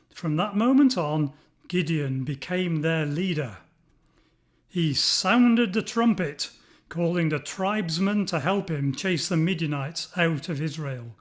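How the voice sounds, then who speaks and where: Slow, high-voiced male storyteller. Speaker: children's storyteller. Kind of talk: expressive scripted story narration.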